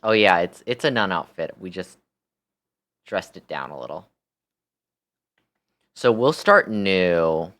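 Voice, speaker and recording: A man's voice: low-pitched, very animated, slow, long pauses, a bit bright. A tired man hosting a livestream, chatting casually and thinking aloud as he goes. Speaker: livestream host.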